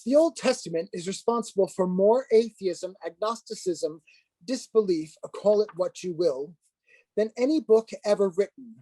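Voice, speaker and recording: A man's voice: sits high, lively intonation, dark tone, no echo, low-quality recording. Stern, dryly sardonic priest played by a man. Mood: solemn lecturing. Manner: formal, measured, lecturing. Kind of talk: dramatized play script reading.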